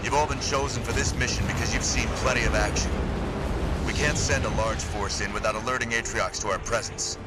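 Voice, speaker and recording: An actor playing a confident, gung-ho military squad leader in a video game, brisk and assured. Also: male voice, mid-range voice, fairly even intonation, heavy background noise, close to an everyday voice. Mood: commanding. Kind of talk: video game drama acting.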